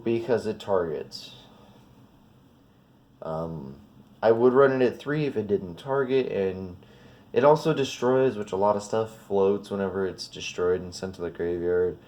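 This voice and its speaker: A man's voice: lowish voice, darkish tone. A man with a slightly sniffly, congested voice gives a casual, unscripted card deck walkthrough in an informal, rambling, self-correcting way. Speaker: trading card video creator.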